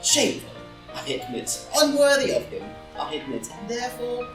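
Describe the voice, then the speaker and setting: Roomy, distant audio drama sound carries a witty gentleman dandy voiced by a woman. The delivery swings widely in pitch, dry and declamatory, flippant but fiercely loyal. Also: sing-song, moderate pace. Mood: indignant. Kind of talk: stage play read as audio drama. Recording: roomy, distant live reading with music.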